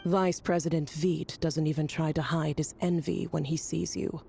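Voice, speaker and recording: A woman's voice: sits low, steady tone, moderate pace, gravelly, dark tone, faint music behind. An actress playing a blunt, haughty woman of the court in a video game, with a cool, mocking manner. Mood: scornful. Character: blunt, haughty, sardonic female court intellectual. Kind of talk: dramatic video game voice acting.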